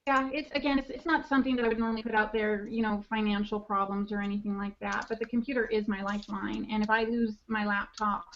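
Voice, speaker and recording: A woman's voice: mid-range voice, little pitch movement, slight background noise. Chatty woman on dull call audio. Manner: chatty, laughs often, halting restarts. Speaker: podcast co-host. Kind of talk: casual chat.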